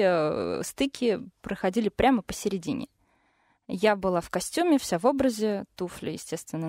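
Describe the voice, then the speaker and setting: A woman's voice: medium pitch, a little mellow, dry recording. A young woman, a singer, talking casually and a bit hesitantly as a studio guest about her musical path. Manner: hesitant, thoughtful, with fillers. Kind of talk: casual interview talk.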